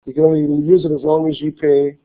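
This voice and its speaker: A male college instructor giving a classroom lecture with a slight cold, talking haltingly with many fillers and restarts. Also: fairly high pitch, completely flat delivery. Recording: tinny phone-like lecture recording.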